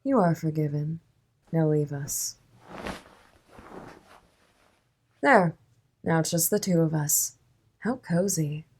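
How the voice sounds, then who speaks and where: Audio drama villainess, female actor: composed crime boss, lowish voice, courteous, playful menace. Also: animated, darkish tone, slightly rough, full character voice. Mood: smooth, courteous. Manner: polite, measured, coolly amused formal speech.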